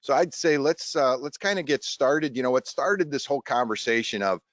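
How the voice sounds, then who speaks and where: A man hosting a casual tech discussion in a halting, rambling way over an online video call. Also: medium pitch, a bit bright, no room sound. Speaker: host of a show tech webinar. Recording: dull, lo-fi online stream audio.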